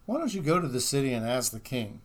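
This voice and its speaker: Woman putting on a low-voiced kindly old grandpa. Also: big pitch swings, quick pace, exaggerated voice. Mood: kindly advising. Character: kindly old farm grandfather in a children's storybook.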